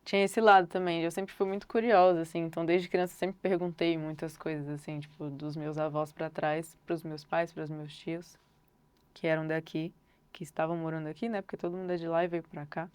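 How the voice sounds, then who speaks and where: A young Brazilian woman, a singer-songwriter, answering interview questions thoughtfully and unhurriedly, often pausing on filler words. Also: sits low, level tone, clean tone. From Brasília, Brazil.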